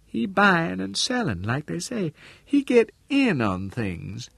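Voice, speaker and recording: A man voicing an earnest, shrewd woman who works in real estate, speaking in a halting, deliberate way in an audiobook. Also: huge pitch swings, gravelly, slightly rough, no room sound, heavily acted. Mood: wary, frank. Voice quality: low, mellow.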